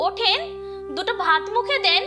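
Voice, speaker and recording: A woman plays a loyal, anxious village caregiver in a melodramatic cartoon. Her voice is extremely high, earnest and emphatic, over loud music. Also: expressive, normal speed. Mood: alarmed concern. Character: devoted, anxious village woman caring for an elder.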